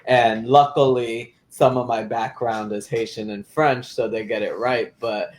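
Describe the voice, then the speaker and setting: A young man from Florida, a community activist, giving clear interview answers with some "um"s. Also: medium pitch, fairly even intonation, measured pace. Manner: articulate and explanatory, with fillers.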